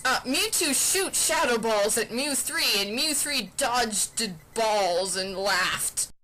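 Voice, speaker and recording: High, bright-voiced woman reading aloud. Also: takes it slowly, rough recording. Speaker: narrator. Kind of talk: amateur dramatic reading of fan fiction. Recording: steady computer fan noise.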